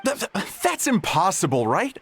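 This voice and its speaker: A man's voice: high-pitched, wildly swooping pitch, moderate pace, fairly bright tone, breathy edge, soft background music, full character voice. A man playing an earnest, incredulous young onlooker in an anime game, protesting and prone to stammer.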